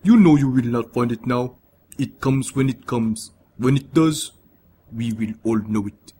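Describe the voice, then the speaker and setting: A man voicing a gigantic, gentle Ethiopian swordsman in a story, measured and courtly. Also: mid-range voice, a little hiss or hum, natural voice. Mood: resolute. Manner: measured, courtly old-world speech.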